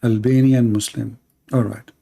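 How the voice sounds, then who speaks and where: A man's voice: mid-range voice, flat delivery, a little slow, creaky, loud music behind the voice. A man who speaks Arabic as his first language, hosting a live call-in show on religion and questioning a caller in an insistent, repetitive way. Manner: insistent, questioning, repeats himself. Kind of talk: conversational religious debate with a caller.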